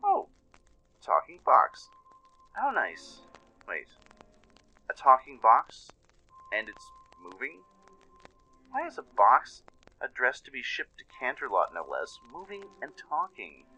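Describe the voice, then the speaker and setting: A man voicing a bewildered, sarcastic pony wanderer in an audio drama, grumbling in halting, exasperated bursts. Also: medium pitch, huge pitch swings, pushed and bright, breathy edge, dull audio, soft background music, voice pushed up, exaggerated voice. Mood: baffled, sarcastic.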